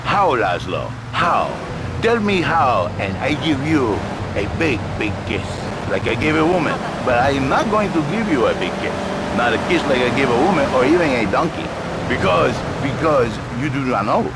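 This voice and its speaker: An actor playing a vain, sleazy marriage guru, pompous and melodramatic in broken English on a satirical radio show. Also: male voice, medium pitch, big pitch swings, normal speed, close to an everyday voice. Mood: teasing, indignant. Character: flamboyant, vain Latin self-styled marriage guru, sleazy and grandiose. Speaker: talk radio guest. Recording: loud traffic and car noise behind.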